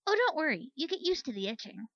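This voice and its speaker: A woman's voice: high voice, very animated, fast talker, a bit bright, no echo, muffled recording, voice pushed up, full character voice. A woman voicing a brash, breezy Pegasus soldier mare in a storybook, blunt and unbothered. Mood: placating, breezy.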